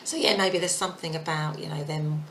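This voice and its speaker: A woman giving a casual tarot reading, thinking aloud with many fillers, her voice croaky and husky. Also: sits low, brisk, a bit bright, a little hiss or hum. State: croaky voice, clearing throat. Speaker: tarot reader.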